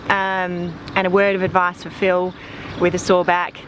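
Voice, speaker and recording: Low-voiced woman, noisy outdoors. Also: monotone, a little slow. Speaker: departing guest crew member. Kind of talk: casual spoken farewell. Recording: clear outdoor ambient noise.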